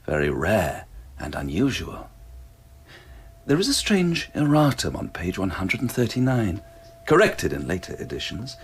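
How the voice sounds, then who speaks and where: A polite, enigmatic dealer in old books for an audio drama. His voice is husky and swings widely in pitch. He speaks in measured, cryptic, old-fashioned phrases with a quiet menace. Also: big pitch swings, full character voice. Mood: brooding, cryptic. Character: courteous, enigmatic male antiquarian bookseller, quietly sinister.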